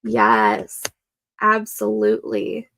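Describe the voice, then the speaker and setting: A young woman with a stuffed-up, congested nose, chatting casually and slowly while hosting a live stream of writing sprints, with many fillers. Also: mid-range voice, very animated, vocal fry, fairly bright tone. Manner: slow, rambling, lots of fillers.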